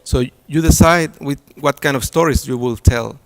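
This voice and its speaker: A man's voice: medium pitch, moderate pace. Man presenting an academic talk. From Chile. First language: Spanish. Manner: hesitant, many fillers and restarts. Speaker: conference presenter.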